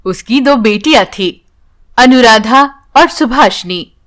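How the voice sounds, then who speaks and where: A woman's voice: on the high side, expressive, slow pace, fairly bright tone. A woman narrating a scripted bedtime story as a storyteller.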